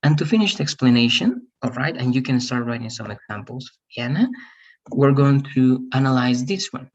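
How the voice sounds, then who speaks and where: Husky teacher on a call. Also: male voice, medium pitch, animated, fairly quick, lo-fi sound. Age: 30s. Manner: patient, explains step by step, many "all right?" checks. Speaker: English teacher. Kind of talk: online grammar lesson.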